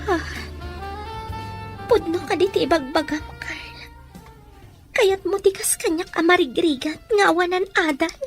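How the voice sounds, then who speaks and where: A woman's voice: very high-pitched, a little slow, long pauses, faint music behind. A woman acting a wary, earnest young woman of humble means in a radio drama, with an Ilocano accent. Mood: hurt, doubtful. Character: Rita, young poor unschooled woman, wary, earnest, sisterly.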